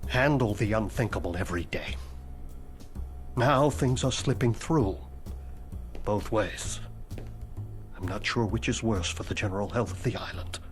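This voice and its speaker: A man plays a dead headmaster's ghost in a game cutscene, aloof and formal, talking about horrors in a deadpan, macabre way. His mid-range voice sometimes sinks to a dry whisper. Soft music plays under him. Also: medium pitch, close to an everyday voice. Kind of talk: video game cutscene dialogue.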